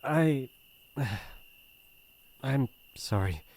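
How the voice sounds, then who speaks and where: A shy young crown prince acted by a man with a British accent, in a fantasy ASMR roleplay. He speaks in formal, courtly phrases with a gentle, earnest manner. His delivery is hesitant and easily flustered, at an ordinary male pitch. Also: expressive, drawn-out words, no echo. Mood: embarrassed, troubled.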